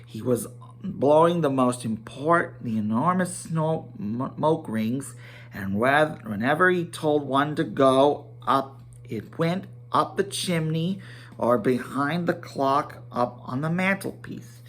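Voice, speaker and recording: A man giving a dramatic storybook reading, halting at times and correcting himself as he narrates. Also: a little high, animated, fairly bright tone. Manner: halting, stumbling over words and correcting himself.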